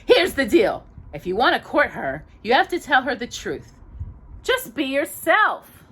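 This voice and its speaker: Woman as a high, emphatic genie. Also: wildly swooping pitch, measured pace, fairly bright tone, husky, exaggerated voice. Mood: frank, urging. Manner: emphatic, straight-talking. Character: brisk, frank male genie giving advice.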